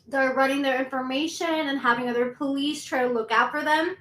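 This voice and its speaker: Woman, high voice, commentary host, chatty explainer, roomy echo. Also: steady tone, fairly quick, a bit bright. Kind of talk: casual commentary.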